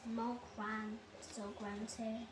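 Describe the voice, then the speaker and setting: A child reading a children's bedtime story aloud with expressive, dramatic delivery. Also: mid-range voice, slow pace. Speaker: storybook reader. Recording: roomy echo, loud enough background noise.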